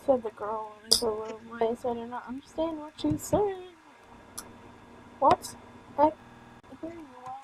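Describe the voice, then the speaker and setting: A woman's voice: high-pitched, steady tone, unhurried, pauses often, background noise. A woman reading a visual novel aloud as a streamer, casually, stumbling over words and tossing in irreverent, sweary asides. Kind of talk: casual game let's play, reading story text aloud with offhand comments.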